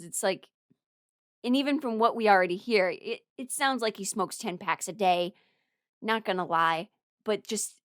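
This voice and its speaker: A woman giving casual, rambling opinion commentary as a host, candid and quick to soften her blunt takes with apologies. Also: medium pitch, dry recording, studio quality. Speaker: host ranking audio drama characters.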